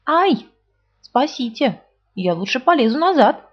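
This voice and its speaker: A woman's voice: sits high, very animated, normal speed, voice pushed up. A woman voicing a greedy, bumbling little male bear who stammers and whines. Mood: panicked. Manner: stammering, whiny, hesitant. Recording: dull lo-fi recording.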